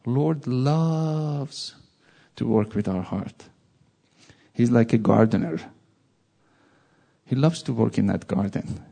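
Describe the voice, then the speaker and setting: Gravelly iranian guy preaching, persian accent, dark husky voice, jokes around. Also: medium pitch, takes it slowly, pauses often. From Iran. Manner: animated, jokey asides. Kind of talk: informal sermon.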